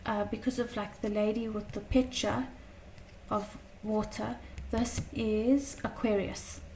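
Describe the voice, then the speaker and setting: A woman teaching a tarot lesson in a chatty, casual way, her voice slightly croaky from a long day of talking, often checking in with "okay?". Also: medium pitch, fairly even intonation, slow pace, darkish tone, far from the mic, slight background noise, poor audio. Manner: chatty, many fillers and "okay?" check-ins.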